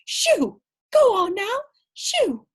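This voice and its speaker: A woman's voice: very high-pitched, sing-song, extremely slow, voice pushed up, exaggerated voice. A woman voicing an indignant lady gardener from a children's storybook, exclaiming and shooing. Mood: indignant scolding. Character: indignant lady gardener scolding a dog away. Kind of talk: children's storybook read-aloud.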